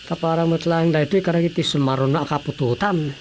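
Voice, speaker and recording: Radio drama role: earnest grown child of fisherfolk, lowish female-acted voice, clear background noise. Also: quick pace, a little mellow, lightly acted. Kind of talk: Ilocano radio drama acting. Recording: noisy radio drama track.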